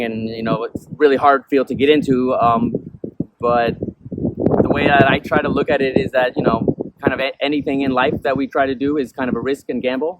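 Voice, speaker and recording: A young man born in Peru, a musician being interviewed, who rambles casually and fills his talk with "you know" and "um". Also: medium pitch. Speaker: musician guest. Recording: noisy background.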